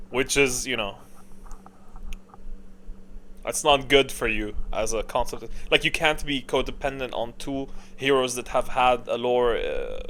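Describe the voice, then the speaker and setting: A man's voice: medium pitch, a bit bright, slight background noise. A man giving casual, opinionated ranking commentary as a gaming video creator, rambling along in emphatic, slangy language.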